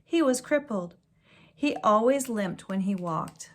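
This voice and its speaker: A woman reading a children's storybook aloud like a teacher, with expressive delivery and gentle asides. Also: mid-range voice. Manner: slow, deliberate, expressive storytelling.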